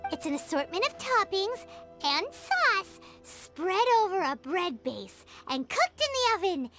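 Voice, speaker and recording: Squeaky excitable fairy companion. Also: female voice, measured pace, heavily acted. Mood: eager. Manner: chirpy, eager, talks about herself in third person. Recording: game audio under background music.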